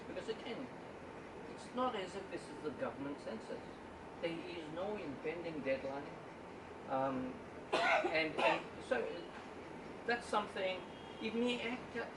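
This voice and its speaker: A man, a statistician, gives an informal talk to a book club and often stumbles and repeats his words. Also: on the high side. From Uganda. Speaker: guest speaker, statistician. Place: indoor meeting room at a book club. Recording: roomy, distant room recording with background noise.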